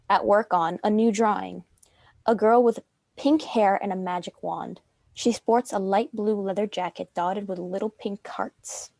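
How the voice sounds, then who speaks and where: A woman's voice: mid-range voice, darkish tone, dry recording. A woman reading stage directions as scripted narration for a dramatic script reading.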